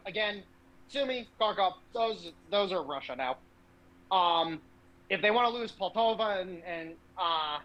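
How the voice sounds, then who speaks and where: Man, high pitch, sharp bright tone, brash, blunt, sweary, casual political podcast talk. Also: poor audio. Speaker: podcast host.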